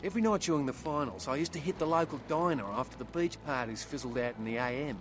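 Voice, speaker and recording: A man's voice: mid-range voice, fast talker, over music, lightly acted. Man acting a wistful, bitter young vampire surfer. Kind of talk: video game dialogue acting.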